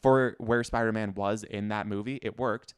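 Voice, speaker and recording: Male podcast co-host, smooth clear voice, informal and agreeable, casual debating style. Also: lowish voice, fairly even intonation, moderate pace, dry recording, clean studio sound.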